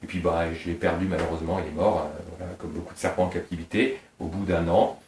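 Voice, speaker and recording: Talkative middle-aged breeder in echoey room. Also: male voice, low voice, steady tone, quick pace, darkish tone, slight background noise. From Réunion Island. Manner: talkative, digressive, piles up details. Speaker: snake breeder. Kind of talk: informal explanation during a breeding tour. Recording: roomy sound from a distance.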